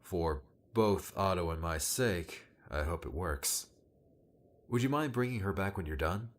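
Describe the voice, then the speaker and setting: A man plays a cheeky young man in an audiobook story. His voice is on the low side and moves about in lively swings. He sounds casual, smug and a little restless. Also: measured pace. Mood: casual, hurried. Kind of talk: dramatised audiobook character dialogue.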